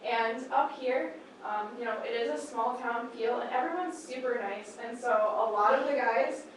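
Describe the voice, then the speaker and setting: High-voiced young woman in echoey room. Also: moderate pace, recorded from a distance. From Michigan. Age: young adult. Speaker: student webcast host. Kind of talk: casual chat. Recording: echoey room, voice from off-mic, room noise.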